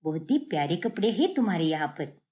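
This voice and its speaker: A woman voices a young student in a cartoon story. She is chatty, friendly and breezy, speaking quietly and expressively with a casual air. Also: on the low side, very animated, a little fast. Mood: delighted. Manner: breezy, casual, English slang mixed in.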